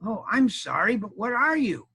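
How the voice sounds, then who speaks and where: A man's voice: high-pitched, big pitch swings, normal speed, pushed and bright, slightly rough, pitched above the performer's own voice, heavily acted. A man voicing a bumbling, apologetic knight in a children's story, hesitant and sheepish. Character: bumbling, apologetic knight lost in a dark forest.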